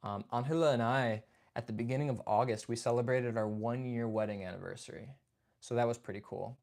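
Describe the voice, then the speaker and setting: A man's voice: mid-range voice, a little fast, creaky, darkish tone. A young man hosting a casual livestream chat. He reads viewer comments, greets people by name and keeps saying "man" and "dude". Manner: laid-back, chatty, says "man" and "dude". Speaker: livestream host.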